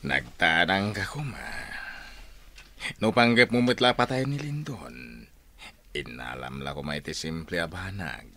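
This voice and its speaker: An actor playing a stern, commanding army general in an Ilocano radio drama, speaking with reproach. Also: male voice, deep voice, lively intonation, unhurried, bright, forceful tone, slight background noise, exaggerated voice. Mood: reproachful.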